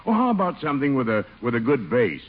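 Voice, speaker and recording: An actor playing a genial, easygoing police chief among club pals in a vintage radio sitcom. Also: male voice, medium pitch, big pitch swings, fast, slightly rough. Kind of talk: 1940s-50s radio sitcom acting. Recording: muffled old radio broadcast.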